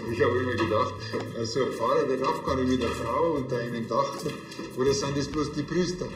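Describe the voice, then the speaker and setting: A naive village policeman played by a man in a crime comedy series. He sounds earnest and colloquial, medium in pitch, in an echoey space with faint music. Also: brisk, natural voice. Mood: curious. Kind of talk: television crime comedy acting.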